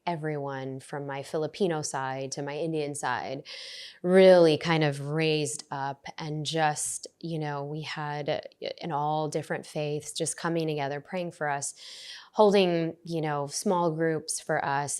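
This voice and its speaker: A woman's voice: deep voice, darkish tone, smooth. A woman guest on a podcast, talking earnestly and reflectively about her past, with frequent restarts. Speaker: podcast guest, resilience coach. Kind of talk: conversational interview.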